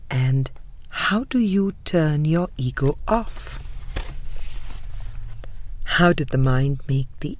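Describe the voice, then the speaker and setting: Female audiobook narrator for spiritual material: husky tone, very unhurried, measured delivery. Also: sits low, a little mellow, dry recording. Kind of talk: scripted spiritual book reading.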